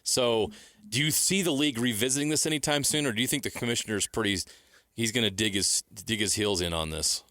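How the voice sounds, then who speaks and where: A man's voice: medium pitch, fairly quick, sharp tone, no room sound, clean studio sound. A man co-hosting a sports radio show, discussing and questioning in a casual way.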